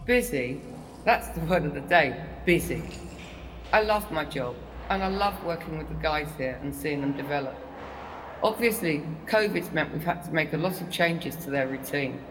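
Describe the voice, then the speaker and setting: An actor playing a caring prison worker who talks in a chatty, wry way and grows animated when telling stories, in an audio drama monologue. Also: mid-range voice, normal speed, big-room sound, noisy background, natural voice. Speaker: prison staff.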